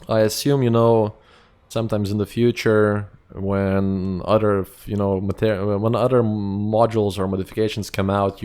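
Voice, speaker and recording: A man's voice: on the low side, darkish tone. A young man from Lithuania with a Lithuanian accent, chatting casually and rambling with lots of fillers while streaming a game. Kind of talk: casual live-stream chat.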